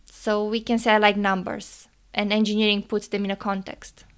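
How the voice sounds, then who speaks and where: A woman's voice: mid-range voice, level tone, moderate pace, a bit bright, clean tone. A young woman from Romania with a Romanian accent, a podcast guest answering questions earnestly, with some non-native phrasing and easy laughter. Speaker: podcast guest, engineering student. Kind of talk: casual interview answers.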